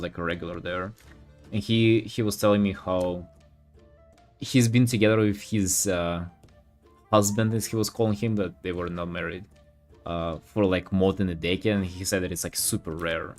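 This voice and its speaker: Low-pitched man chatting casually on stream. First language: Russian. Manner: halting, rambling, slangy with swearing. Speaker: art streamer. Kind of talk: casual livestream chat while painting.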